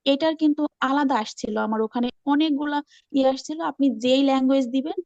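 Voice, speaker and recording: Woman, high voice, eager and repetitive explainer in an online class discussion, dull dry call audio. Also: steady tone, fast talker, darkish tone, dry recording. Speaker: class participant. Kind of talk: casual group call discussion, explaining from own experience.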